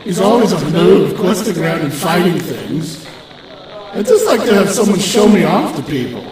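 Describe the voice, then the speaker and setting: Flamboyant, high, swooping vain talking armor. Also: male voice, sing-song, moderate pace, a little mellow, heavy reverb, distant mic, low-quality recording. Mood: sassy, eager. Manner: campy, gushing, drawling, sassy. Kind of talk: comic audio drama character acting. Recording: music behind the voice.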